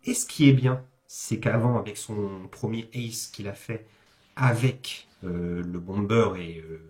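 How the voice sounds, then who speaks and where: A man's voice: on the low side, measured pace, a little mellow. A man giving a casual, explanatory speedrun commentary, hesitating and correcting himself with informal asides. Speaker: video game commentator, host. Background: background music.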